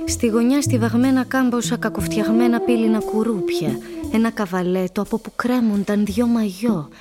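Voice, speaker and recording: A woman narrator reading scripted literary prose for a radio play in a measured, storytelling manner. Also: on the high side, fairly even intonation, over loud music.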